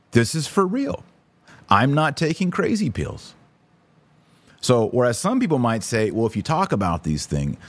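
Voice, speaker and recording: A man's voice: mid-range voice, a little mellow. A man hosting a live podcast, giving opinionated commentary and answering listeners in an emphatic, preacherly, indignant way. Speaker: podcast host.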